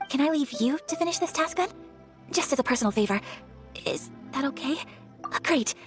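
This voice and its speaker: A woman playing a polite, refined young noblewoman in a video game, gentle, earnest and formally courteous. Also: sits high, expressive, brisk, soft background music.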